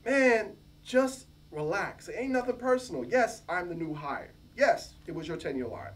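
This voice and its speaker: A man's voice: sits high, lively intonation, normal speed. A man voicing a brash, confrontational American hip-hop professor in a swaggering, emphatic, slangy way during a book reading. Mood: defiant. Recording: distant mic, faint room noise.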